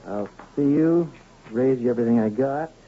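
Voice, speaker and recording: An actor playing a determined young ranch hand in a Western radio drama, curt and challenging. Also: male voice, medium pitch, moderate pace, natural voice. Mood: defiant, tense. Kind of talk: 1970s radio drama acting. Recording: muffled, noisy 1970s radio drama recording.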